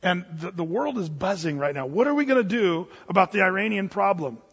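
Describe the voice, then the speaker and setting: A man's voice: sits high, animated, brisk, gravelly, a bit bright, husky. A man giving an earnest, rambling talk on Bible prophecy, with many fillers and restarts. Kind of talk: informal preaching talk. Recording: dull lo-fi sound with long hall reverb.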